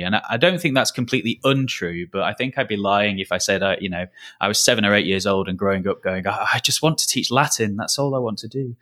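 A man in his thirties with a Lancashire accent talks earnestly in a podcast interview. His voice sits a little low, and he often stops and restarts as he thinks. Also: fairly bright tone. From Lancashire, England. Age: 30s. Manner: earnest, thoughtful, with frequent restarts. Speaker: school director of learning.

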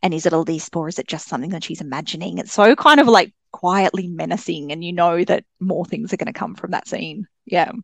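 A woman's voice: on the low side, fairly quick, creaky, few pauses, no room sound. An Australian woman talking casually and enthusiastically about books as a podcast guest. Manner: enthusiastic, chatty. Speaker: guest author on a book podcast. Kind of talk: casual book discussion.

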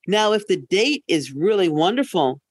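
A woman's voice: on the low side, lively intonation, normal speed, breathy edge, dry recording, studio quality. A woman co-hosting a podcast in casual conversation, emphatic and often interrupting herself to rephrase. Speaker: podcast co-host. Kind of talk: casual relationship chat.

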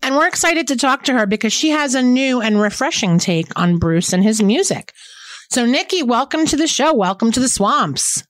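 A woman's voice: fairly high pitch, very animated, normal speed, dry recording. Woman podcast host, dry close sound. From New Jersey. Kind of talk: casual podcast interview.

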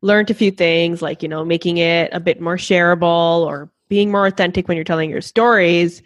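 Female interviewer, slightly low register, casual and conversational, closely recorded with no room sound. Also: fairly even intonation, normal speed, dry recording.

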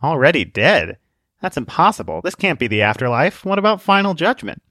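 A distrustful, confused young man, played by a male reader, speaking a little higher than the narration, full of questions. The reading is close-miked and dry. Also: very animated, a little fast, pushed and bright, dry recording, full character voice. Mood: puzzled. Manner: suspicious, questioning. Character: puzzled, wary young man newly arrived.

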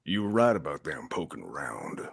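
A man's voice: very deep voice, sing-song, moderate pace, creaky, breathy edge, dry recording, heavily acted. A man playing a cocky, beer-loving mutant strongman with a slangy, dismissive manner in a live radio play. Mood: casual.

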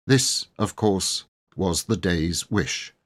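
A man's voice: low-pitched, animated, slow. A man narrating a children's story from a script as an audiobook reader.

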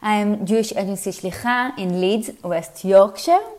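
Israeli lady as a podcast guest, medium pitch, hebrew accent, chatting about herself. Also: a little slow, a little mellow. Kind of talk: conversational self-introduction.

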